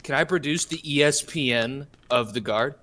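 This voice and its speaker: A man's voice: medium pitch, normal speed, heavy background noise. A man chatting casually as a player in a tabletop roleplaying game.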